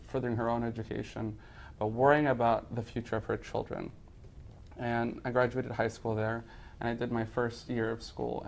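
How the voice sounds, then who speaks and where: Young man from Somalia, earnest, measured candidate statement, gravelly, flat delivery. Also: medium pitch, a little hiss or hum. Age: 20s. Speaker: city council candidate. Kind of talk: editorial board interview statement. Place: newspaper meeting room, indoors.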